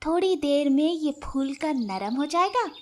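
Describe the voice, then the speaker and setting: Woman as high-voiced, sweet-talking clever fox. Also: expressive, a little hiss or hum. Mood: sweetly persuasive. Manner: sweet, coaxing, unhurried. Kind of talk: animated children's fable character voice.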